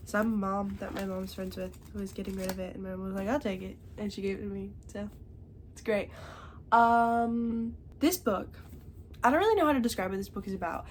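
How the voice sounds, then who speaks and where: A woman's voice: medium pitch, moderate pace. Young woman, stuffy congested voice, chatty book talk, faint hiss behind. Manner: chatty, tags remarks with "okay?". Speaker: book reviewer. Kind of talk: casual chat.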